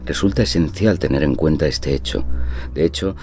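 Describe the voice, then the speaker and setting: An actor playing an impressionable gentleman who tells his haunted murder tale in a measured, confiding horror-story manner. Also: a bass voice. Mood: grave, measured. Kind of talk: dramatized first-person horror narration. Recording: narration over loud background music.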